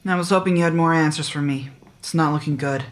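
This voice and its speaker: A woman's voice: low voice, fast talker, close to an everyday voice. A woman playing a small-town sheriff in an audio drama. She is brusque and hard-nosed, wanting answers and doubting what she is told, with little patience to spare. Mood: grim. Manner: brusque, skeptical, foul-mouthed. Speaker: actor in an audio fiction podcast. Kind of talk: full-cast audio drama dialogue.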